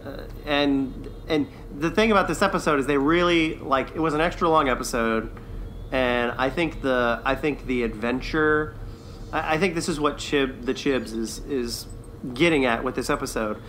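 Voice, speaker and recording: Male podcast co-host, bright mid-range voice, enthusiastic casual reviewer, noisy recording. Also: mid-range voice, normal speed. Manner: informal, enthusiastic, laughs often. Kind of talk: casual chat, review discussion.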